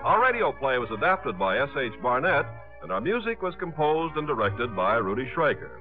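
A man's voice: mid-range voice, big pitch swings, normal speed. A man reading a script as a 1950s radio announcer. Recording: narrow-band 1950s radio broadcast with music. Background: loud orchestral music.

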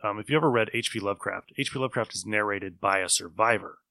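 A man's voice: on the low side, fast talker, sharp tone, no room sound. A man hosting a casual podcast chat about old radio shows, rambling along as an enthusiastic storyteller. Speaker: podcast host.

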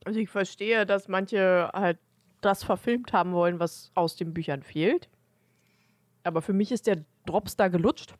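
A woman's voice: mid-range voice, normal speed, no echo. Casual woman podcaster, dry close sound. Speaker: podcast co-host. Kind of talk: casual chat.

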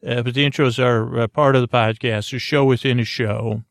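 Male podcast host, creaky and dry, soft rambling delivery full of tangents. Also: medium pitch, fairly even intonation, moderate pace, dry recording. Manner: soft, meandering, self-interrupting, goes off on tangents. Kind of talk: rambling bedtime podcast intro.

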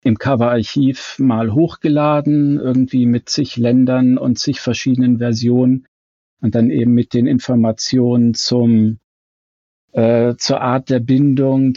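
A man gives a detailed, carefully worded explanation as a guest in an interview. His voice is mellow and mid-pitched, in a dry recording that sounds slightly muffled. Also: no room sound. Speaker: fan website co-founder, podcast guest.

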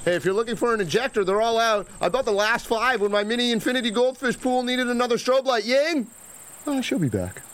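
An actor playing a smug, attention-hungry young bro who boasts in slang in a comedy audio drama. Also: male voice, extremely high pitch, fairly quick, a bit bright, a little hiss or hum. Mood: smug, cocky.

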